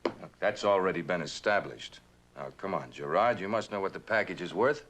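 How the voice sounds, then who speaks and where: A smooth corporate right-hand man in an old TV drama. His low voice moves widely in pitch, polished on top, with condescension and quiet menace underneath. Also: expressive, moderate pace, heavily acted. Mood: cool. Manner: polished, controlled, veiled menace. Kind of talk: mid-century American television drama acting.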